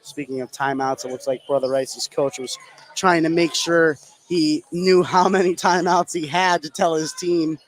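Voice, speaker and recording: A man's voice: fairly high pitch, steady tone, moderate pace, poor audio. A man giving live analysis as the color commentator on a basketball broadcast. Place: indoor basketball gym, courtside.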